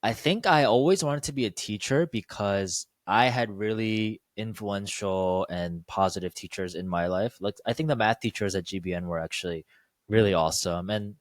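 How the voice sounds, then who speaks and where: A young man co-hosts a casual podcast, friendly and encouraging, with a voice that sits on the low side. The sound is dry and close, with little room around it. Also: normal speed, no room sound. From Korea, raised in Chicago suburbs from age nine. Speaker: podcast co-host. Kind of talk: casual chat.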